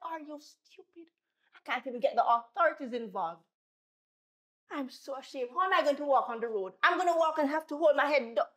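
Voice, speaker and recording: A woman playing a high-voiced, churchgoing wife. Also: lively intonation, fairly quick. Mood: furious. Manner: pleading, then furious. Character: churchgoing wife, emotional, righteous.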